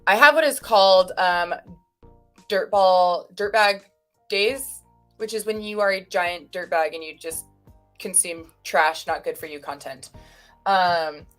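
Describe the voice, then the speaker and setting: A woman's voice: on the low side, unhurried, fairly bright tone, soft background music, poor audio. Woman streamer, chatty and self-deprecating, loose stream banter into a computer mic. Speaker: stream co-host. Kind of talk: casual livestream chat.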